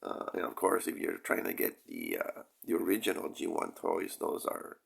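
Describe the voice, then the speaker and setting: A man with a deep, crackly voice, still rough from a cold, talks as the host of a casual review. He takes his time, pausing and rambling as he goes. His intonation moves up and down in a lively way over a heavy gravelly fry. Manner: hesitant, rambling, pausing often, frequent throat clearing. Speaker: toy review channel host. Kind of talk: casual product review talk.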